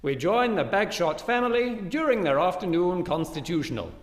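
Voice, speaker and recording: An actor playing a formal, chirpy announcer of a mock public information broadcast, with theatrical delivery. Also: male voice, sits high, sing-song, normal speed. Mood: mock urgency. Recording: echoey stage hall.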